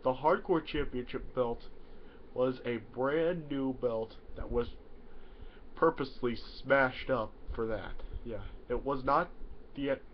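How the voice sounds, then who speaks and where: A man's voice: mid-range voice, takes it slowly. Sniffly young man rambling on webcam. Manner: rambling, many "yeah" fillers and restarts. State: stuffy nose from a cold. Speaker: YouTuber. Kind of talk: casual to-camera explainer. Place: small bedroom indoors. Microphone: webcam in front of him. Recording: dull lo-fi webcam audio, faint hiss.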